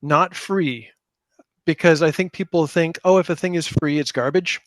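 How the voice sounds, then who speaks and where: A man's voice: a little high, normal speed, no room sound. A man speaking casually as a guest in a podcast interview about his own work, with a self-deprecating manner. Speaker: podcast guest, tabletop game designer.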